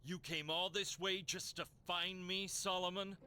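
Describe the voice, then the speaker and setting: Obsessed male outcast, video game villain, high-pitched, very forceful bright voice, taunting, defiant. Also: steady tone, unhurried, soft background music, heavily acted. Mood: scornful, defiant. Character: defiant exiled male thief, obsessed, scornful.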